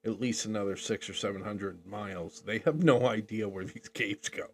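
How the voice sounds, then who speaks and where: Laid-back older man, low voice. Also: moderate pace, slightly rough. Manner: rambling, jokey, laughs often. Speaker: podcast co-host. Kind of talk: casual chat.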